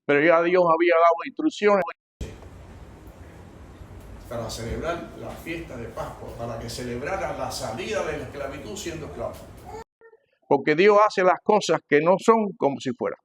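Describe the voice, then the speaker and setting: Emphatic Cuban man preaching, higher-pitched voice. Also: animated, moderate pace, a little hiss or hum. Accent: Cuban Spanish. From Cuba. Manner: emphatic, repeats phrases, swings between loud and hushed. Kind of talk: sermon, teaching a church congregation.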